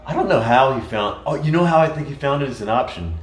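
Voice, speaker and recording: An American man in casual conversation, telling stories in an animated way with frequent restarts. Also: mid-range voice, fast talker. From United States. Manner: storytelling, animated, many restarts. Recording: echoey room.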